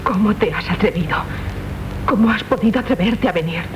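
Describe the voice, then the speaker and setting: Through a muffled, noisy old radio tape comes a proud young noblewoman, played by a woman. She grieves and demands justice in solemn, declamatory radio-play style, at a middle pitch. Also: fairly quick, close to an everyday voice. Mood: anguished. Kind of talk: 1970s Spanish radio drama acting.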